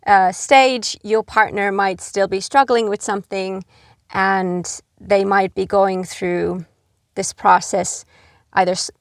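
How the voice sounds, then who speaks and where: A middle-aged woman from Finland giving earnest, gentle advice to camera, with frequent fillers like "you know". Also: medium pitch, no room sound, clean studio sound. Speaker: expat vlogger.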